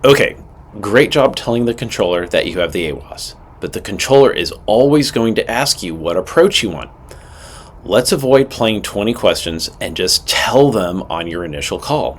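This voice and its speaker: Low-pitched man giving direct scripted instruction. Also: lively intonation, moderate pace. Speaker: instructor presenting a pilot training video.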